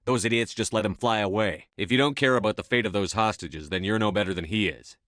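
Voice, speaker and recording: An actor playing a stern, steady human military commander in a sci-fi video game, clipped, authoritative and matter-of-fact. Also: male voice, lowish voice, fast talker, no room sound, clean studio sound, lightly acted. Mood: blunt, reproachful. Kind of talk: video game dialogue acting.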